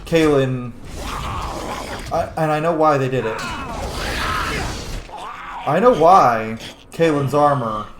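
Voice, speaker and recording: A man's voice: a little high, takes it slowly, long pauses. A man giving casual gaming commentary in an opinionated, rambling way with plenty of swearing. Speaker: video game streamer. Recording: roomy echo with faint noise.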